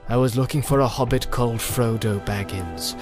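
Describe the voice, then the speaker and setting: A man voicing a grim, secretive, world-weary wanderer of the wilds in an audiobook, measured and guarded. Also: medium pitch, faint music behind, close to an everyday voice. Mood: frank. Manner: measured and guarded, often dropping to a hush. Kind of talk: audiobook character acting.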